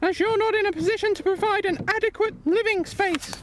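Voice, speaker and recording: A woman playing a stern, fastidious child-custody inspector in a comedy audio drama, speaking in a prim, clipped, officious way. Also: very high-pitched, background noise. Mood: brisk, judging.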